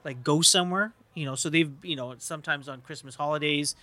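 A man's voice: fairly high pitch, brisk, sharp tone. A man hosting a podcast from a hotel bar, asking friendly questions with short interested prompts. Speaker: podcast host. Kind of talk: casual interview chat.

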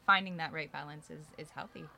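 A woman whose first language is Polish talks easily as a guest in a hotel bar, full of fillers and quick laughs. Her voice sits slightly low but rings bright and forceful. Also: steady tone, vocal fry. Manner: chatty, laughs often, many fillers. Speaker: podcast guest, arena host. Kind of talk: casual interview chat.

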